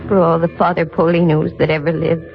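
A poised, warm-hearted lady detective in a vintage radio play. Her delivery is affectionate and deliberate, thin and tinny on the old recording, with music behind. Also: sits low, little pitch movement, gravelly. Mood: tender, earnest. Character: worldly, warm-hearted female private detective. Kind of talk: 1950s radio drama acting.

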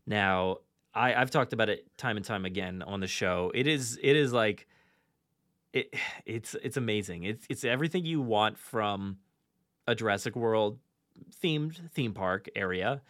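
A man's voice: fairly low pitch, measured pace, a bit bright, dry recording, studio quality. A man hosting a podcast in casual fan chat, enthusiastic but often restarting and repeating his phrases. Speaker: podcast host.

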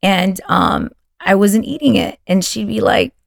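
A woman's voice: mid-range voice, unhurried, vocal fry, no echo, clean studio sound. A woman from Texas whose first language is Spanish, telling personal stories as a podcast guest in a casual chat with frequent restarts. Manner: warm storytelling with frequent restarts.

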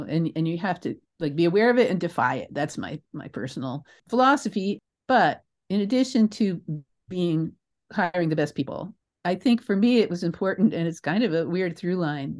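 Older American woman, slightly low voice. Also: a little mellow. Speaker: interview guest, theatre creator. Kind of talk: conversational interview answers.

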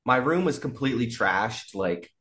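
A man's voice: mid-range voice, expressive, moderate pace, fairly bright tone. A man telling a wild story as a podcast guest, rambling, laughing often and swearing freely. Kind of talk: casual storytelling.

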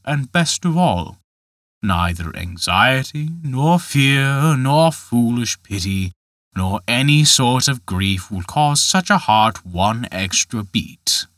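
A man voicing a huge, scornful forest spirit who tempts a young man, speaking slowly and mockingly. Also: mid-range voice, a bit bright, dry recording, studio quality. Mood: coaxing. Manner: slow, mocking, emphatic.

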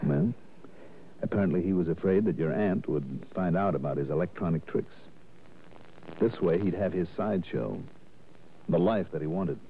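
An actor playing a hard-boiled, unflappable investigator in a 1960s radio drama, narrating in a brisk, clipped way. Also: male voice, low voice, lively intonation, gravelly, slight background noise. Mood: matter-of-fact, resolute. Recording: narrow lo-fi 1960s radio, music behind.

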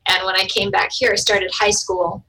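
A woman's voice: mid-range voice, flat delivery, normal speed, sharp tone, far from the mic, low-quality recording. A young adult woman whose first language was German, chatting casually as an interview guest about her writing and art, laughing often and restarting her sentences. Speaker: author and artist, interview guest.

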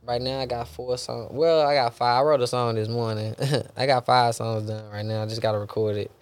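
Gravelly young man, rambling. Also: mid-range voice, fairly even intonation, a little fast. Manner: loose, rambling, says bro and man a lot. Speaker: gospel rapper guest. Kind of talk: casual interview chat.

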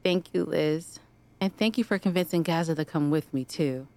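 A woman playing a polite, cautious wife in an audio drama, speaking softly and hesitantly in conversation. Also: low-pitched, moderate pace, no echo. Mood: polite, subdued. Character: polite, cautious young wife preparing her partner.

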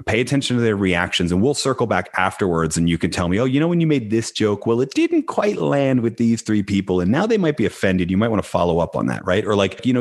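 Deep-voiced New man, dry humor. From Massachusetts, United States. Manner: dry, sarcastic, conversational, frequent "right?". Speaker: guest expert. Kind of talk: casual podcast discussion.

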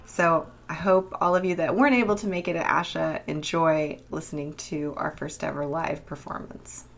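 A woman in her twenties co-hosting a casual podcast from a rented apartment, relaxed and quick to laugh. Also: low voice, gravelly. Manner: laughs often, relaxed, fillers. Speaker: podcast co-host.

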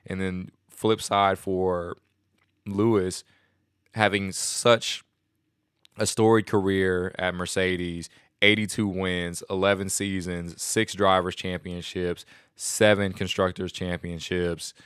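A man hosting a casual solo podcast, excited and rambling, a little nasal and sniffly from a cold. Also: sits low, slow pace, clear, resonant tone, no room sound, studio quality. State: congested from a stomach bug. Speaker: podcast host.

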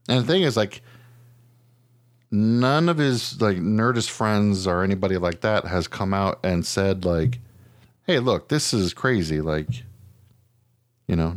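A middle-aged American man with a fairly low voice chats on a podcast. He tells stories in a blunt, profane, informal way, slipping into mimicked quotes. The sound is dry, with little room around him. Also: expressive, unhurried, long pauses, no echo. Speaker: podcast co-host. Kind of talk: casual chat.

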